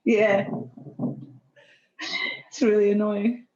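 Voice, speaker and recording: A woman with an Australian accent softened by British, chatting candidly and informally as a podcast guest. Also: mid-range voice, flat delivery, drawn-out words. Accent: Australian, slightly blended with British. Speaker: podcast guest, personal trainer. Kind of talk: casual podcast chat. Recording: echoey, slightly dull audio.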